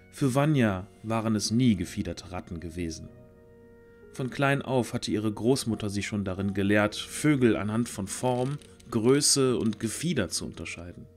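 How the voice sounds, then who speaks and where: A man narrating a scripted fantasy audio drama in a measured storytelling manner. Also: lowish voice, a little mellow.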